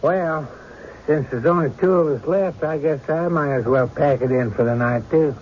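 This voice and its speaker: A man's voice: a little high, normal speed, close to an everyday voice. An actor playing an uneasy, plain-spoken country man in an old-time radio drama, with a hesitant rustic manner and a muffled recording. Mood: casual. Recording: muffled, faintly noisy radio broadcast.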